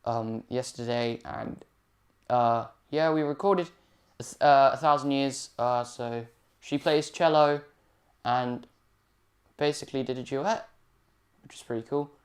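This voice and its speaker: A man's voice: medium pitch, fairly even intonation, a little slow, long pauses. Thirteen-year-old English boy, laid-back and brief. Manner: laid-back, brief replies with many fillers. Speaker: young pianist hosting his own livestream. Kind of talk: casual chat.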